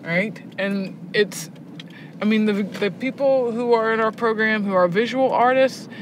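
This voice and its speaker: A woman talking casually in an interview, emphatic and reflective, making her points like a teacher. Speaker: head of a prison arts education program, poetry teacher. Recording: noisy location recording, background clatter and rumble.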